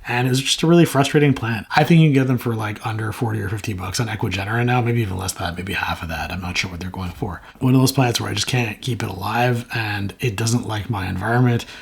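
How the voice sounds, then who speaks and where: A man's voice: fairly low pitch, fast talker, gravelly, few pauses, husky. A man with a sore throat, chatting casually and frankly to camera as the host of a plant channel. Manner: rambling, frank, self-mocking.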